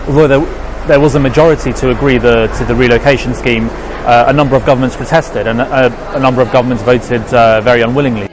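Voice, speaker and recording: Analyst guy talking fast, trips over his words a lot, noisy background. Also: mid-range voice, fairly even intonation. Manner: quick, with repeated restarts and hesitations. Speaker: policy analyst interviewee. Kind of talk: interview comments.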